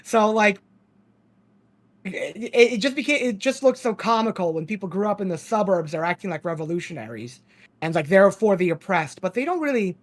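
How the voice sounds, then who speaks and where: A man's voice: high voice, expressive, a little fast. A man who grew up in Ukraine, a podcast guest in casual chat, rambling and sardonic, laughing often.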